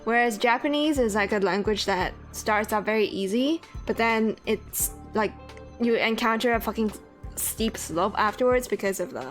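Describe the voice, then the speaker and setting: A woman whose first language is Cantonese, streaming a game in casual chat, swearing freely and laughing often. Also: mid-range voice. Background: faint game music.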